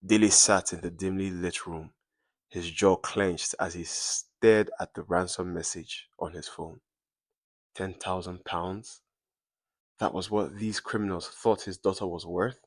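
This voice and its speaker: A man's voice: deep voice, steady tone, a little slow, dry recording. A man narrating a scripted dramatic story in suspenseful, short clipped phrases.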